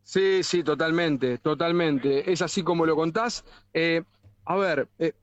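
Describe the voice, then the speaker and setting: A man's voice: fairly high pitch, unhurried, no room sound. An Argentine man with a Rioplatense accent reporting live on sports radio and interviewing people on the street. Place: outdoors in the street outside a stadium. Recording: narrow band live radio link.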